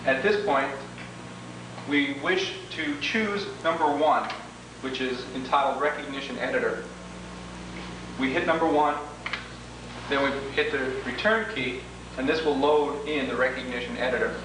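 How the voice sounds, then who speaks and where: Male instructor, mid-pitched voice, methodical step-by-step demonstration, formal wording, heavily echoing room, noisy homemade 1980s video recording. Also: unhurried, long pauses, far from the mic. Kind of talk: instructional training-tape walkthrough.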